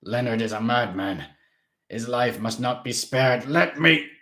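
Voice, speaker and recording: A man reading aloud, voicing a stern old male vampire who speaks in a formal, grave, measured way. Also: medium pitch, expressive, a bit bright. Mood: firm.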